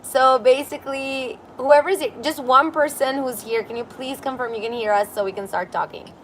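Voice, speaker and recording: A woman's voice: high-pitched, steady tone, normal speed. A woman hosting a casual livestream on her balcony, chatty and giggly, reading comments aloud. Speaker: livestream host. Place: apartment balcony by a busy street. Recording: outdoor street noise. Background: street traffic.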